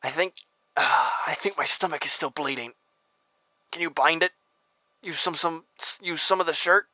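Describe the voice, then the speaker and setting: An injured, exhausted former agent, played by a man, who pushes out his words in strained, halting bursts. His voice is bright and close on a dry mic. Sighs and breathy whispers slip in between his lines. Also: a little high, dry recording, heavily acted. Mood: pained. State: injured, weak and short of breath. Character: wounded, bleeding man, weary former federal agent. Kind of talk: audio drama acting.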